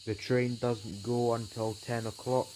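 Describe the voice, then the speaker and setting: A man's voice: medium pitch, level tone, measured pace, a little mellow, a little hiss or hum, natural voice, low-quality recording. A man playing an eager, chatty male train traveller in a story, earnest and exclamatory. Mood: matter-of-fact.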